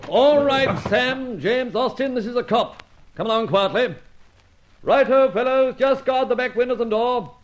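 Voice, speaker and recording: An actor playing a brisk, commanding police inspector with mocking sarcasm, in old radio detective drama style. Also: male voice, extremely high pitch. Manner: barking orders, sarcastic, theatrical. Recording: dull lo-fi old radio recording.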